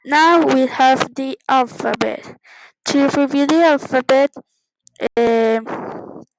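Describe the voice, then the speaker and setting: A woman's voice: high voice, slow pace, a little hiss or hum, low-quality recording. A woman giving an informal English lesson as a teacher, narrating what is on screen in simple, instructional phrases and repeating words.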